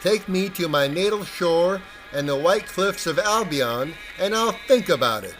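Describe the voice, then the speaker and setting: Man playing a loud, defiant shipwrecked sailor. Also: high voice, expressive, unhurried, soft background music, pitched above the performer's own voice, rough recording. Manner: declamatory, stubborn. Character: defiant, resourceful shipwrecked male mariner.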